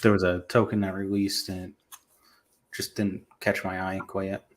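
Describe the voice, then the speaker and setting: A man co-hosting a casual online chat who speaks slowly and hesitantly. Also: low-pitched, little pitch movement. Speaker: co-host.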